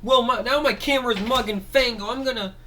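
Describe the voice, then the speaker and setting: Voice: mid-range voice, a little fast, pushed and bright, slight background noise, pitched above the performer's own voice, close to an everyday voice. A storyteller voicing a grumpy, vengeful toy robot man complaining about a stolen camera. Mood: indignant. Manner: indignant, grumbling.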